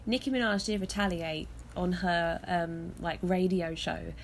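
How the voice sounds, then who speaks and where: A woman hosting a podcast, chatting casually from her bed with a hoarse voice from illness. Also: fairly low pitch, fairly even intonation, measured pace, a little hiss or hum, poor audio. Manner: chatty, laughs often. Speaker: podcast host. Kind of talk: casual chat. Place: in bed at home.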